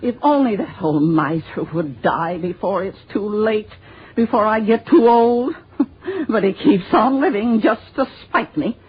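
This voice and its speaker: An American actress playing a bitter, greedy middle-aged spinster schoolteacher with shrill, spluttering indignation in a 1950s radio drama. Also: female voice, lively intonation, measured pace, husky. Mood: greedy, spiteful. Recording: dull, lo-fi old radio recording.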